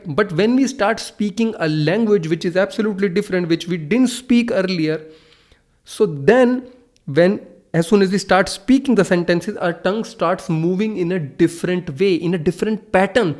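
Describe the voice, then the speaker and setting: A man's voice: sits high, normal speed, a little mellow. A young Hindi-speaking man with an Indian accent, talking earnestly into his phone camera, repeating himself and restarting sentences. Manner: earnest, repetitive, restarts often. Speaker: English-speaking channel host. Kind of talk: casual to-camera talk.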